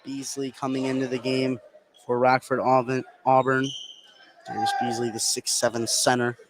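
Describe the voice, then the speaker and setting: A man's voice: mid-range voice, completely flat delivery, moderate pace. A man calling live basketball play-by-play as the announcer in an indoor gym. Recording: clear crowd and gym ambience noise.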